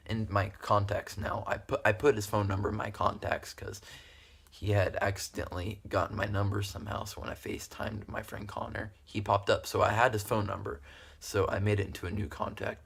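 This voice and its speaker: A man's voice: on the low side, gravelly, a bit bright, breathy edge. A young man telling a story casually to camera in a rambling, giggly, repetitive way. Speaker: video creator telling stories.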